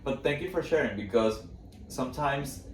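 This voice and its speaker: A man from Venezuela with a Venezuelan Spanish accent, interviewing in a casual, reflective way with halting, searching phrasing. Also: medium pitch, expressive. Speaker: podcast host, interviewer. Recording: echoey room, distant mic, background noise.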